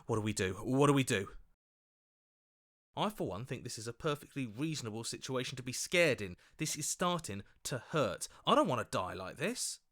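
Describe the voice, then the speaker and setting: An actor playing an earnest, anxious heroic inner voice in a video game, protesting and questioning. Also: male voice, medium pitch, very animated, moderate pace, fairly bright tone. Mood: anxious, bewildered. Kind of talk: dramatic game voice acting.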